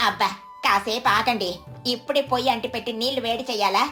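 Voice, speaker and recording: A woman's voice: fairly high pitch, quick pace, bright, forceful tone, faint music behind. A woman playing a lazy, wily village wife who fusses and chides in a moral story cartoon. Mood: fussing. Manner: chiding, fussing.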